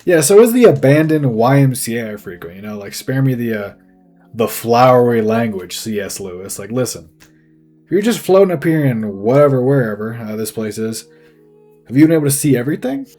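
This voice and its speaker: A man voicing a foul-mouthed, sarcastic dead fish in a cartoon, rambling and halting with a strained, throaty delivery. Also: mid-range voice, sing-song, dark tone. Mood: sheepish, probing. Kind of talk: loose improvised comic character acting. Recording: music under the voice.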